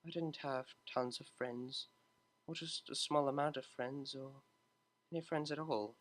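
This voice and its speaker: A man's voice: medium pitch, fairly even intonation, a little slow, close to an everyday voice. A man reading the lines of a refined young female cellist pony, formal and politely reserved. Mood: quiet.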